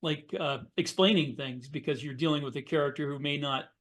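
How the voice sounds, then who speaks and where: A man's voice: on the high side, steady tone, a little fast, a bit bright. A middle-aged man, a podcast co-host, explaining things in a casual conversational way.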